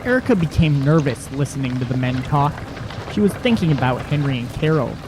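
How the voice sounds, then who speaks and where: A man's voice: medium pitch, lively intonation, fairly quick, noisy background. A man reading scripted dramatic narration as the narrator of an audio drama.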